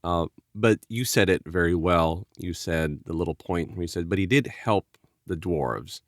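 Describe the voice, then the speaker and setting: A man co-hosting a podcast review, talking casually in a measured way and often softening phrases with "sort of". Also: sits low, no echo, studio quality. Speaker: podcast co-host.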